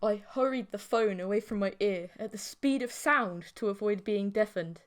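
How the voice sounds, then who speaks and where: A woman's voice: medium pitch, moderate pace. A woman narrating a scripted first-person audiobook story.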